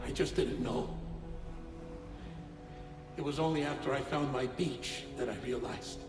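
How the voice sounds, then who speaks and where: An actor playing an earnest, dying doctor in a video game cutscene, confessing in deliberate, formal phrases with pauses. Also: male voice, mid-range voice, over music, lightly acted. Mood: apologetic, solemn. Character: earnest, world-weary male doctor made of transplanted organs, dying. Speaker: doctor and researcher.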